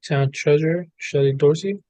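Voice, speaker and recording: A man serving as town clerk, calling the roll in a brisk, formal way. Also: a little high, warm tone, no room sound. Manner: brisk, formal reading of names. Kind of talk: roll call.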